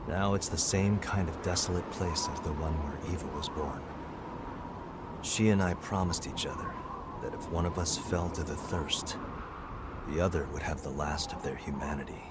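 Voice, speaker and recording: Very deep-voiced, grim video game warrior. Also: male voice, fairly even intonation, normal speed, heavy background noise. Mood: brooding. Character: grim, driven male warrior devoted to a woman. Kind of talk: dramatic video game cutscene acting.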